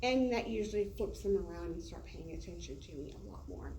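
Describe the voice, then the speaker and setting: A woman's voice: lowish voice, animated, fairly quick, mellow. Teacher lady chatting with coworkers, lots of ums, sounds far off from the mic with faint background hum. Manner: chatty, loose, many fillers and restarts. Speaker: teacher presenting to colleagues. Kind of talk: casual professional development talk. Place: classroom or meeting room. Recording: roomy echo, heard from a distance.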